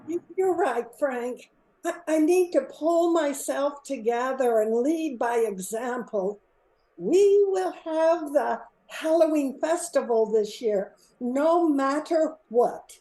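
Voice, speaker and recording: Older woman as a high-voiced, jittery town mayor. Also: animated, mellow, echoey room, poor audio. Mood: shaken, resolving. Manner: flustered, halting. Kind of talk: amateur old-time radio play acting.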